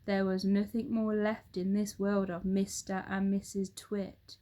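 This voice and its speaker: A woman storyteller reading a children's book aloud with expressive narration. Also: mid-range voice, measured pace.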